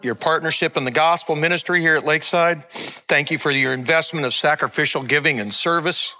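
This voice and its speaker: A man in his sixties, a lead pastor, giving a sermon and announcement in an earnest, measured, heartfelt way. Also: fairly high pitch, sharp tone. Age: 60s.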